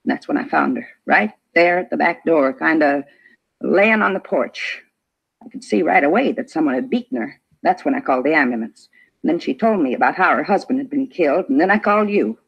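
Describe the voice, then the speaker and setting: Gravelly, husky folksy neighbor woman. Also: sits low, lively intonation, brisk, exaggerated voice. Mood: recounting, uneasy. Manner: plain folksy speech in clipped phrases. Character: shaken, talkative older female neighbor giving a witness statement. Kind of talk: old-time radio crime drama acting. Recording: dull, lo-fi vintage radio recording.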